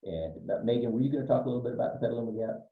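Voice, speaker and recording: An older man from Northern California, a winemaker explaining wines in a relaxed way with many fillers, recorded from a distance. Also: mid-range voice, rapid-fire. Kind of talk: explaining wines in a virtual tasting. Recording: distant, echoey, phone-like sound.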